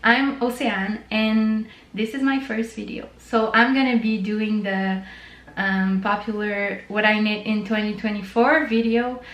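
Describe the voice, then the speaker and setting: A woman's voice: medium pitch, fairly even intonation, measured pace, a bit bright, reverberant. A woman with a French Canadian accent, hosting her own knitting channel in a chatty, informal way with lots of fillers. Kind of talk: casual chat to camera.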